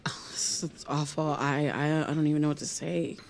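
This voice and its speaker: Mellow, low-voiced haunted woman podcaster. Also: little pitch movement, normal speed, gravelly. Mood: shocked, confused. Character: troubled, haunted woman podcaster digging into her past. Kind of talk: naturalistic audio drama, confessional narration.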